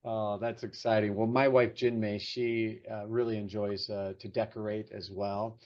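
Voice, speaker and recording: A man's voice: lowish voice, little pitch movement, moderate pace, a little mellow, dull audio. A man hosting a podcast interview, upbeat and chatty, with frequent fillers. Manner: upbeat, hesitant with many fillers. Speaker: podcast host. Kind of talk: casual interview chat.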